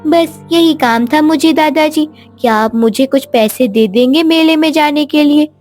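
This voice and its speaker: A woman's voice: high voice, unhurried, darkish tone, soft background music, voice pushed up. A woman voicing an eager, trusting young girl in a children's story, pleading and exclaiming. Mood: eager, coaxing. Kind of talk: animated moral story dialogue.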